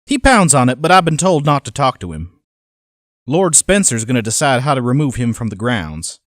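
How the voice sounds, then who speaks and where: A man's voice: medium pitch, big pitch swings, normal speed, a bit bright, no room sound, studio quality. An actor playing a simple, obedient hired hand who reads his journal in a plain-spoken, hesitant way for an audio drama. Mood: dutiful, puzzled.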